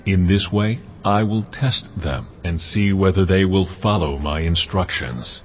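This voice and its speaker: Casting note: the Lord, solemn divine commander, low voice, dull track with faint music. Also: male voice, a little slow, slight background noise.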